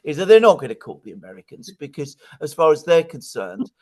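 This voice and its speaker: A man's voice: a little high, sing-song, brisk, a little mellow. A man giving conversational political analysis as a guest commentator, speaking emphatically and repeating words for stress.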